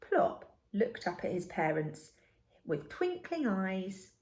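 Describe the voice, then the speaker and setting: Slow, expressive woman reading a children's story. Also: medium pitch. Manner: expressive, deliberate. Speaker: storybook reader. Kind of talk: children's story narration, read aloud.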